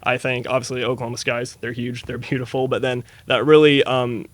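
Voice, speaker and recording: A man's voice: mid-range voice, flat delivery, brisk, dry recording. A man in his early twenties from Eastern Washington, a student answering interview questions in an earnest, down-to-earth way. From Eastern Washington, USA. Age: early 20s. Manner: earnest, down-to-earth, uses fillers like "uh" and "you know". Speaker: student guest.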